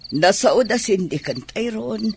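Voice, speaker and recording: A woman's voice: mid-range voice, lively intonation, measured pace, creaky, noisy background. A woman playing a concerned, chiding grandmother in an Ilocano audio drama, with an Ilocano Filipino accent. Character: concerned grandmother scolding her grown son.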